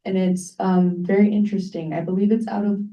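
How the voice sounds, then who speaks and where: A woman's voice: mid-range voice, level tone, brisk, mellow. A woman in an audience giving an unscripted recommendation to the room. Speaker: audience member. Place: library event room. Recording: muffled, distant microphone.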